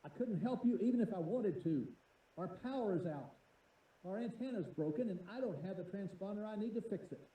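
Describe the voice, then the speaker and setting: A curt, grumpy radio host. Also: male voice, high voice, lively intonation, fairly quick, warm tone, breathy edge, rough recording. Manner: curt, dismissive asides. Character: grumpy male small-town radio host, impatient. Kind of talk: game character dialogue.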